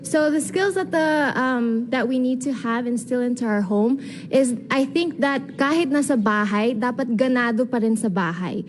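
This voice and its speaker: A young woman with a Filipino accent answering pageant interview questions in an earnest, deliberate way, slipping in Tagalog phrases. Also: a little high. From Philippines. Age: young adult. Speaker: beauty pageant contestant. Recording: clearly noisy room ambience.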